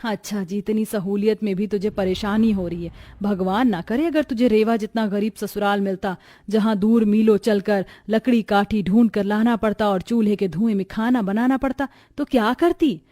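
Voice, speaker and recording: A woman's voice: mid-range voice, moderate pace, few pauses, mellow, dry recording, clean studio sound, close to an everyday voice. A woman voicing an older mother-in-law in a cartoon story, admonishing in a matronly, exasperated manner. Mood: scolding. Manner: scolding, matronly.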